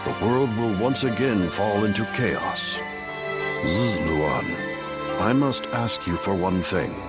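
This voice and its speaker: A grave, deep-voiced deposed governor. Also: male voice, lively intonation. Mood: grave, earnest. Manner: formal, stately, measured. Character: dignified deposed governor, grave and resolute father. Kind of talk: dramatic English video game voice acting. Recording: loud background music.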